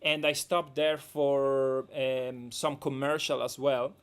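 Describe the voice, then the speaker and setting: A man from Italy with a strong Italian accent, a documentary director speaking as a guest on a radio talk show, halting and repeating words as he goes. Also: mid-range voice, little pitch movement, clear, resonant tone. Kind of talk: radio interview over the phone. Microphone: phone line.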